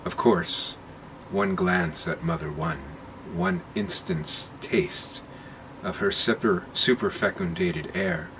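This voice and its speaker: Deep-voiced man slowly reading a story. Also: sing-song, gravelly, noisy background. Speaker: narrator reading his own short story. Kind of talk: short story read aloud.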